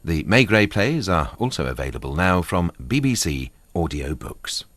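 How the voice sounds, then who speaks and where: A man's voice: low-pitched, big pitch swings, normal speed, a bit bright, no echo. A man reading a scripted programme announcement as a radio continuity announcer.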